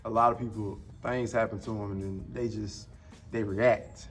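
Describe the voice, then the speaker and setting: A man's voice: on the low side, steady tone, moderate pace, faint music behind. A man in his twenties hosting a podcast in casual chat, laid-back and full of slang like "bro" and "dog.". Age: 20s. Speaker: podcast host.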